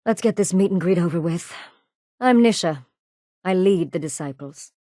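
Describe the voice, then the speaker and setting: An actress voicing a ruthless, menacing raider gang leader in a video game, cold, commanding and sardonic. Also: female voice, fairly low pitch, expressive, moderate pace, dark tone, no echo, studio quality, natural voice. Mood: scornful. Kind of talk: video game character dialogue.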